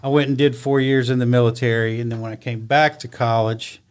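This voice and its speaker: A middle-aged man, a lawyer, answering interview questions in a relaxed, understated way with short, dry replies. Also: medium pitch, fairly quick, clean tone. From born in Italy, raised in the US. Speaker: guest, criminal defense lawyer.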